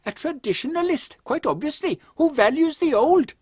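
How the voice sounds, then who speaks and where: A woman playing a deferential airship pilot aide in an audio drama, formal, measured and respectful. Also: sits high, lively intonation, darkish tone, no echo, studio quality, pitched below the performer's own voice. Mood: thoughtful, formal.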